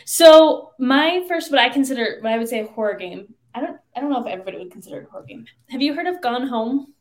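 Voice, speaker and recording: A woman's voice: fairly high pitch, animated, fast talker. A woman in a casual podcast chat, explaining things in a slightly hesitant way. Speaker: podcast guest. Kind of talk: casual chat about video games.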